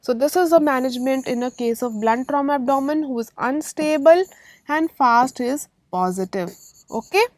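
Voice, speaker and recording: A woman with an Indian accent gives an exam-prep medical lecture in a high-pitched voice. She stresses and repeats key points, often asking questions to check understanding. The sound is dry, with very little room echo. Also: moderate pace, a little mellow.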